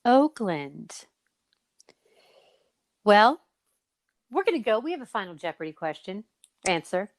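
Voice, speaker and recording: A woman's voice: mid-range voice, big pitch swings, measured pace, long pauses, dry recording, clean studio sound. Playful, chatty woman hosting a podcast. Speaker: podcast host. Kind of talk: casual chat, quiz and interview hosting.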